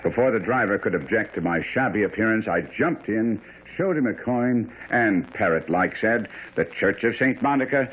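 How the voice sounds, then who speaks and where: An actor playing a shrewd, confident detective in a radio drama. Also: male voice, on the low side, lively intonation, moderate pace, few pauses, husky. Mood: amused, animated. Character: shrewd confident detective, at times posing as rough groom. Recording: noisy narrow-band old radio broadcast.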